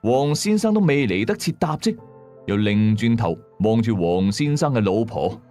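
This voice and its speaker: A male storyteller with a Hong Kong accent and a low voice. His delivery is dramatic and very animated, building suspense in everyday colloquial wording. Music plays under the narration. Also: moderate pace.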